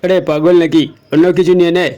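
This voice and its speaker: Man doing a high, fast-talking angry cartoon cop. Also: little pitch movement, mellow, close to an everyday voice. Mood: irritated, threatening. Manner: scolding, exasperated, threatening. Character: hot-tempered bullying policeman, threatening beatings. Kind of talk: comic Bengali cartoon dubbing.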